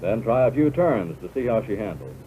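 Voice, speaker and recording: An American man reading scripted instructional narration for a 1940s military training film in a measured, instructive way. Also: on the low side, big pitch swings. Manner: measured, instructive, addressing the listener as pilot. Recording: tinny band-limited 1940s film soundtrack, loud noise.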